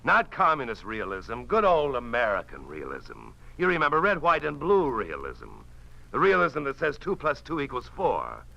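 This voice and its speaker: Sardonic boozy newsman, old radio drama, creaky sharp voice, very animated and mocking. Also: mid-range voice, moderate pace, lo-fi sound, exaggerated voice. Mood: mocking, bitter. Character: cynical hard-drinking newspaperman, sardonic and eloquent. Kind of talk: 1950s radio drama acting.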